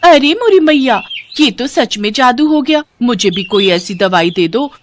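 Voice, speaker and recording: A gullible, ageing village wife as a woman storyteller puts her on, vain and easily won over. Her voice sits a little high in this storybook dialogue. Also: animated, measured pace, slight background noise. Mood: amazed, eager.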